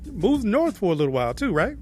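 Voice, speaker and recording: A man's voice: sits high, sing-song, fast. A man hosting a podcast interview in a laid-back way, often repeating himself. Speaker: podcast host. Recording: loud hip hop music behind. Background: hip hop music.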